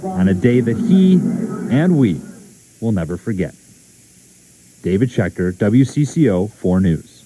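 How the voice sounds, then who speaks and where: A man's voice: fairly low pitch, very animated, unhurried, pauses often, warm tone. An American man narrating a scripted TV news story as a reporter.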